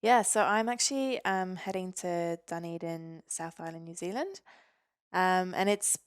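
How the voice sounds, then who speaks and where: A woman's voice: on the low side, a little slow, clean tone, no room sound, studio quality. A young woman from Norway, a physiotherapist guest on a podcast, chatting casually with lots of ums and easy laughs. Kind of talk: casual interview chat.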